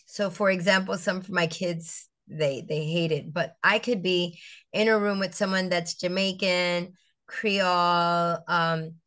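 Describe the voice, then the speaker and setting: Lowish voiced middle-aged woman from st croix, telling her story slow and thoughtful, lots of ums. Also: fairly even intonation, fairly bright tone. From US Virgin Islands (St. Croix). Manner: reflective, storytelling, with frequent fillers and restarts. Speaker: guest, educator and business founder. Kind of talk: conversational interview.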